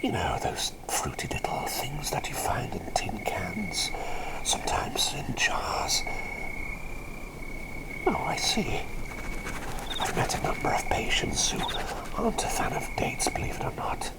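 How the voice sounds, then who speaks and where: An eccentric, excitable plague doctor played by a man murmurs in a breathy whisper for an ASMR roleplay. The delivery swoops widely up and down with a crackly fry at the edges. He is doting and easily sidetracked, politely repeating his phrases. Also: mid-range voice, measured pace, pauses often. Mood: flustered, musing. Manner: rambling, easily distracted, repeats phrases, politely old-fashioned.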